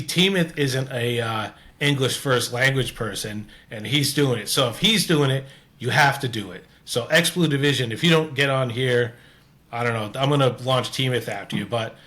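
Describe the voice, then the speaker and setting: A man with a Canadian accent speaks at a middle pitch. He hosts a casual interview chat, talking earnestly and restarting his sentences as his thoughts run on. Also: a bit bright. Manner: rambling, restarts often, earnest. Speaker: podcast host.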